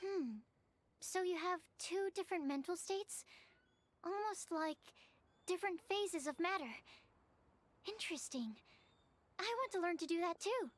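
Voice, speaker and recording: Young bookish game scientist, extremely high pitch, earnest, curious and timid. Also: female voice, lively intonation, a little slow, long pauses. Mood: fascinated. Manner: earnest, literal, technical wording. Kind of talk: video game voice acting.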